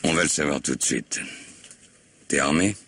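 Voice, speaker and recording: An actor playing a methodical, insistent police chief in an old French radio crime drama, probing and thinking aloud. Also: male voice, rumbling low, moderate pace, background noise. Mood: cautious, businesslike. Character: gruff, methodical male police chief leading an investigation.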